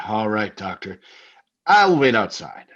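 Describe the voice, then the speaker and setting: A man plays an impatient, puzzled husband in an old-style radio drama. His pitch jumps about a great deal. The recording is a little dull and lo-fi. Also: big pitch swings, a little slow, fairly bright tone, exaggerated voice. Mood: resigned. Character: baffled, impatient husband suspected of murder. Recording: slightly dull, lo-fi sound.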